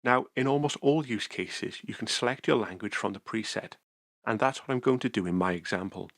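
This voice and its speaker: A man calmly narrating a software tutorial screencast, explaining each step methodically. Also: lowish voice, a little fast, no echo.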